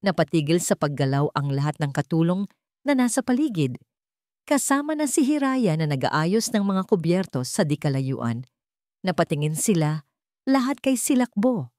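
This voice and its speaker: A woman narrating a scripted romance story in a measured, sentimental, dramatic way. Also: on the low side, sing-song, a little mellow, no echo.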